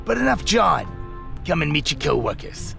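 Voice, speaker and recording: Casting: gruff, sardonic older villain's henchman supervisor, streetwise, animated delivery, some creak. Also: fairly high pitch, normal speed, music in the background, full character voice. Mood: grumbling. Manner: sardonic, slangy, grumbling. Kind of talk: audio drama acting.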